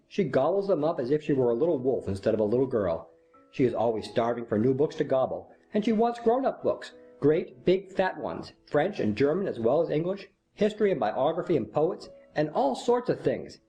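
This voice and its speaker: A woman acts a young, good-humoured army officer and fond father in a storybook drama. The delivery is playful and affectionate, mellow and very animated, with music behind. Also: a bass voice, brisk, voice pushed down, rough recording. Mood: proud, jovial. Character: young, jovial, doting army captain father.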